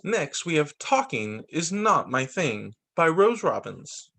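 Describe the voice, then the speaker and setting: Animated male teacher reading aloud, fairly high voice. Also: slow, creaky. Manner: expressive reading with short spoken asides. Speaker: teacher reading picture books to pupils. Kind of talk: read-aloud story time for children.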